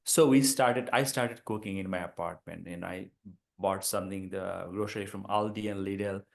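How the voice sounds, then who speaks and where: A man's voice: low voice, steady tone, moderate pace, creaky. A man in his forties with a Bangladeshi accent, a communication professor talking as a guest and telling an earnest, rambling personal story. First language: Bengali. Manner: earnest, rambling, fond of "you know". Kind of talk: casual conversation, personal storytelling.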